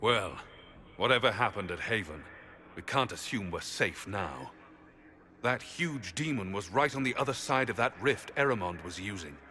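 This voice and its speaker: Forceful, low-voiced wry adventurer. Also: male voice, lively intonation, normal speed. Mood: wary. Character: wry, wary adult male fantasy adventurer. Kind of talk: dramatic fantasy game acting. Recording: faint ambient noise.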